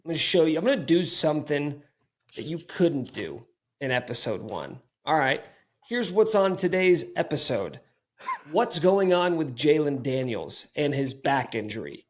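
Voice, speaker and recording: A man with a fairly high voice hosts a casual sports podcast. He teases his co-host and bosses him around as a joke. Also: very animated, normal speed. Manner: playful, teasing, mock-bossy.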